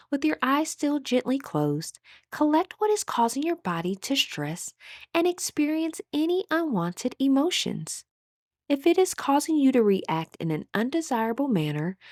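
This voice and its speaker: Higher-pitched woman guiding a meditation. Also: darkish tone, no room sound, clean studio sound. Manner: measured, instructional, soothing delivery. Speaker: guided meditation instructor. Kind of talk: scripted guided meditation.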